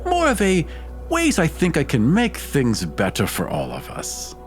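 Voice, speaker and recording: A man's voice: a little high, wildly swooping pitch, a little slow, soft background music, pitched above the performer's own voice. A man voicing a courtly, eager, blue-scaled male mage who talks in a florid, polite, theatrical way. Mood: courteous, eager.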